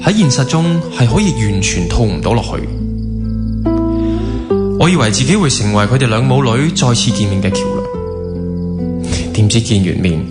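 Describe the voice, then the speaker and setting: A man's voice: medium pitch, lively intonation, moderate pace, pauses often, lightly acted. An actor playing an earnest, disillusioned young man, narrating his thoughts in Hong Kong Cantonese in a radio drama. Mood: disappointed. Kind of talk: radio drama monologue, reflective scripted narration. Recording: long reverb, music under the voice. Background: guitar music.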